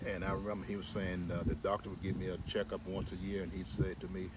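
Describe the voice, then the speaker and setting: An older man with a fairly low voice tells personal stories deliberately, repeating his points. He sounds away from the mic, outdoors with loud car noise around him. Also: level tone. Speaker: interview guest. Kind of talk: personal storytelling in conversation. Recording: very noisy outdoor recording, distant microphone.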